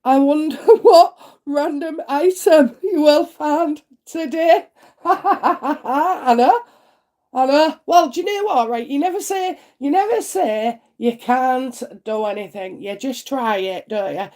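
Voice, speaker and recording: A woman's voice: high-pitched, slow pace, darkish tone. A woman with a congested head cold, chatting on a live stream as a psychic reader and answering viewer comments in a homely way. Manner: chatty and homely, many "uh" fillers, asks "isn't it?".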